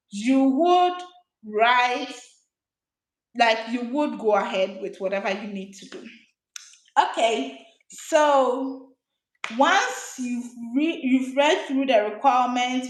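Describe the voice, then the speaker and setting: A woman, hosting a live advice stream and patiently explaining things step by step with frequent ums. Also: a little high. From Ghana. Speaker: study abroad advice livestream host. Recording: roomy echo.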